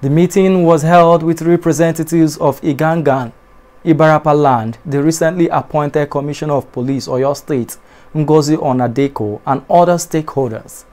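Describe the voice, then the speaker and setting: A young adult man reading a television news bulletin in a studio, formal and measured, his voice dropping at the ends of sentences. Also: a little high, a little mellow. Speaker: newscaster. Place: TV studio in front of a backdrop.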